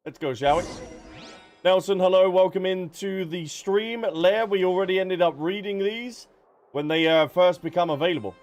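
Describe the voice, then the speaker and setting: A man's voice: high-pitched, normal speed, darkish tone, dull audio, soft background music. A man streaming a video game with casual, playful, teasing commentary and chat with viewers.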